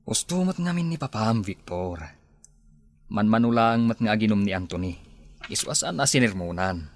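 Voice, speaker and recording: A man's voice: on the low side, lively intonation, normal speed, dry recording. An actor playing a chatty, concerned man of the household in a radio drama. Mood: gossipy, concerned.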